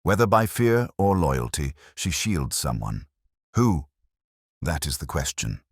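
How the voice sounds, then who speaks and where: Audiobook sleuth, played by a male narrator: extremely low male voice, intense, commanding, deliberate and rhetorical, dry close sound. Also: sing-song, dry recording, exaggerated voice. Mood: probing, grave. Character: brilliant, intense male detective, commanding and cryptic.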